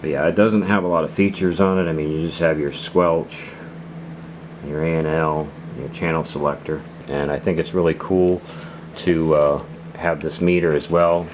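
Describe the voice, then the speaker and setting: Casting: low-voiced male hobbyist, casual hands-on demo talk, rambling and hesitant, clearly noisy recording. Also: fairly even intonation, normal speed. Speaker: radio hobbyist reviewer. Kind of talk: casual unscripted product demonstration.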